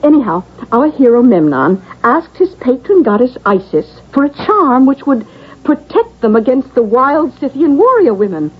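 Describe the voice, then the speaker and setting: A woman's voice: high voice, big pitch swings, moderate pace, breathy edge, heavily acted. An actress playing a knowing woman who eagerly explains ancient legends in a vintage radio drama. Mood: storytelling. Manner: eager, storytelling. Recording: dull vintage radio broadcast.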